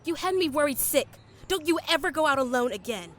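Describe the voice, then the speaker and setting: A woman's voice: sits high, lively intonation, fairly quick, fairly bright tone. An actress playing a stern, protective woman in charge, scolding and commanding. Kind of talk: audio drama acting.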